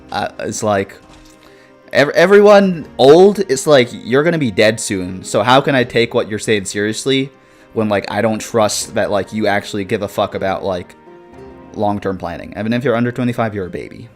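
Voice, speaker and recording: A young man in his twenties on a casual live stream, talking in a lowish voice as he rambles through viewer comments. He swears freely and fills his talk with "like" and quick laughs. Faint music plays underneath. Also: expressive. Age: 20s.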